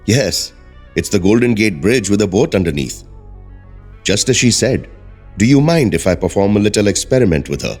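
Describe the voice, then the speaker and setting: An actor playing a calm, persuasive research doctor in an audio drama, speaking in a measured, polite, soothing way. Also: male voice, on the low side, soft background music. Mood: earnest, persuasive.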